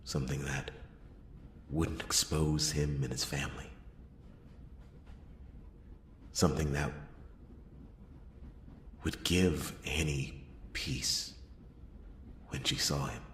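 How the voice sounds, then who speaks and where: A man playing a brooding noir detective, narrating his case in a hushed, breathy ASMR roleplay with halting, dramatic pauses. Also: rumbling low, fairly even intonation, echoey room, a little hiss or hum. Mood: solemn, tender. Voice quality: hushed, breathy, often dropping into whisper.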